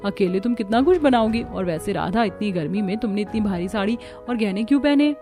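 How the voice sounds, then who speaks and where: A woman's voice: medium pitch, animated, a little fast, music in the background, lightly acted. A woman voicing a kind, helpful young sister-in-law in a cartoon, friendly and reassuring. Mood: caring, teasing.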